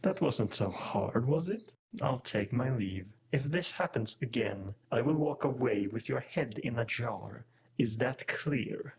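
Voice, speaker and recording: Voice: medium pitch, animated, measured pace, breathy edge, exaggerated voice. An actor playing an arrogant, ancient robotic alien overlord in a sci-fi audio drama, condescending and menacing. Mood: cold threat.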